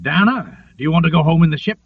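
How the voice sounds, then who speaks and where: A man's voice: a little high, expressive, fast, husky. A man voicing an abrupt, domineering merchant sea captain in an audiobook, with curt, commanding delivery. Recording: narrow phone-like lo-fi sound.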